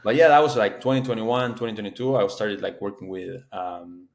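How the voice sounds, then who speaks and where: Guy in his 30s, deep gravelly voice, talks slow and careful, lots of "you know". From Argentina. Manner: measured, many "you know" fillers and restarts. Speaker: startup founder guest. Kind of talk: casual podcast interview.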